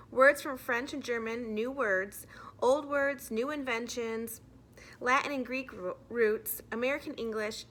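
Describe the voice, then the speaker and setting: A woman's voice: on the high side, pushed and bright, slight background noise. A woman narrating a children's storybook as a teacher reading aloud to a class.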